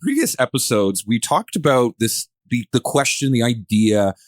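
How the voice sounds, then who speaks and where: A man's voice: mid-range voice, sing-song, moderate pace, dry recording. A man hosting a casual podcast discussion, conversational and rephrasing his ideas as he goes. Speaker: podcast host.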